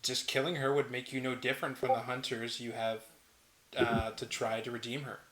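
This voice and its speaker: A man's voice: medium pitch, level tone, moderate pace, fairly bright tone, close to an everyday voice. Man acting an earnest young hero, roomy sound. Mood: earnest, insistent. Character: earnest, principled male human companion of a vampire. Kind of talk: scripted drama table read.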